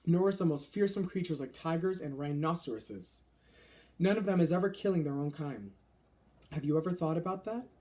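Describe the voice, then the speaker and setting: A man voicing a big, friendly, gently indignant giant from a children's storybook, speaking in quaint broken grammar with mangled words. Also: on the high side, a little fast, lightly acted. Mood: indignant, lecturing.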